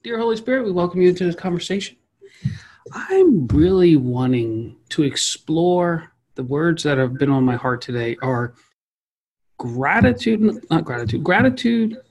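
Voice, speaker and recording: A man with an American accent hosting a podcast in a playful, effusive, rambling way, joking with his guest. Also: on the high side. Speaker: podcast host. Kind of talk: casual interview chat.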